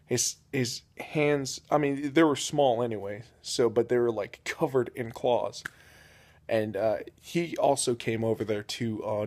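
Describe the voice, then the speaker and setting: Young guy, deadpan comic storyteller, rambles with lots of fillers. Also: mid-range voice. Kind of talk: improvised comedic storytelling.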